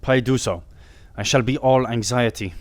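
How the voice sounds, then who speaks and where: A man's voice: mid-range voice, moderate pace, no echo, natural voice. Man acting a grave, anxious young king. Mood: earnest. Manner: solemn, formal, emphatic.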